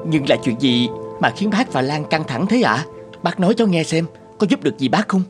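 An actor playing a polite, caring young neighbour in an animated drama, speaking respectfully and earnestly. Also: male voice, a little high, fairly quick, faint music behind, natural voice. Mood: concerned. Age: young adult.

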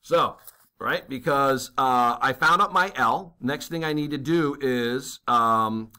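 A man works through a navigation calculation as a lesson, talking over his own writing. His voice is bright and a bit tense. He thinks aloud, with many fillers and quick "okay?" checks. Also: mid-range voice, clear, resonant tone. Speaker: navigation instructor. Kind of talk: worked-problem math lesson.

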